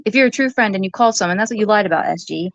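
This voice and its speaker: A soft-voiced woman talking casually as in a vlog, halting and rambling. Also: medium pitch, dry recording, lo-fi sound.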